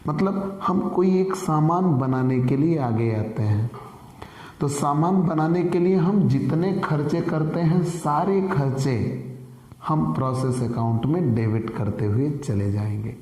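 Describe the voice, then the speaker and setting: A man with a sore, hoarse throat, teaching an online commerce lecture in an earnest manner and calling viewers dear friends. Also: on the high side, a little slow, darkish tone, roomy sound, long reverb tail. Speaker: commerce tutor.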